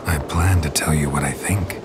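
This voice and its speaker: A very low-voiced, gruff monster hunter from a video game. He stays unflappable and dryly witty, while prominent music plays behind him. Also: normal speed. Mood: deadpan. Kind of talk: video game dialogue acting.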